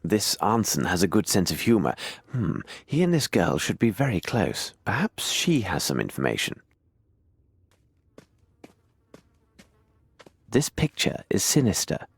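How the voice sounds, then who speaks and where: An actor playing a shrewd, self-assured Victorian detective with a British accent in an adventure game, speaking precisely and formally as if musing aloud. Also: male voice, on the low side, wildly swooping pitch, moderate pace, slightly rough, exaggerated voice. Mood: intrigued, musing. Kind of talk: scripted adventure game dialogue.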